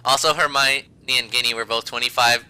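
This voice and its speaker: A man's voice: medium pitch, steady tone, fairly quick, bright, forceful tone, clear, resonant tone, rough recording. A man reading fan fiction aloud as a podcast host, amused and hamming up the emphasis. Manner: breaks into laughter while reading, hammy emphasis.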